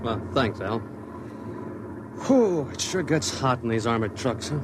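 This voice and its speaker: Guy playing excitable truck guard in old radio drama, medium pitch, slangy, noisy recording with road sounds. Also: a little slow, lightly acted. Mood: chatty, curious. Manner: slangy, exclamatory. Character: folksy, excitable male armored truck guard. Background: truck and road sound effects.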